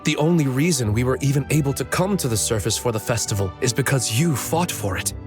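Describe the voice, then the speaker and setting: A man's voice: medium pitch, animated, a little fast, over music, close to an everyday voice. A man voicing a courteous, deferential author in a video game. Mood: grateful.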